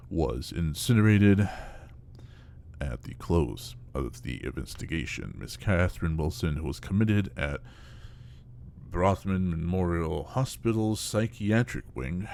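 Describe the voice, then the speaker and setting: Man acting very deep, gravelly official. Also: a little mellow, a little hiss or hum, full character voice. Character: dry male official reading an investigation report. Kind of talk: horror audio drama, read-out official report.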